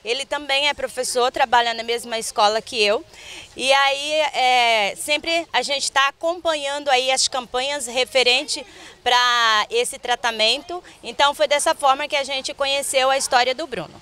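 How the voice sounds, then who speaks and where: Brazilian woman teacher, high and bright, easy conversational interview. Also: steady tone, normal speed, smooth. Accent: Brazilian Portuguese. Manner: chatty, conversational. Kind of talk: interview answer. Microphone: handheld reporter mic.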